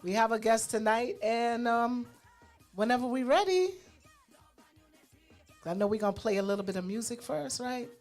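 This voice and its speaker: A woman's voice: on the high side, moderate pace, pauses often, a little mellow. A woman co-hosting a radio show in casual, chatty style, repeating words and adding informal asides. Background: music.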